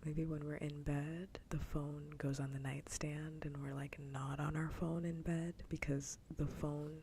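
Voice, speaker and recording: Female ASMR streamer, hushed breathy whisper with a dark, crackly tone, conversational, slight background rumble. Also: flat delivery, unhurried. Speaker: livestreamer, ASMR host. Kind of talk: whispered ASMR casual chat. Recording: faint low rumble noise.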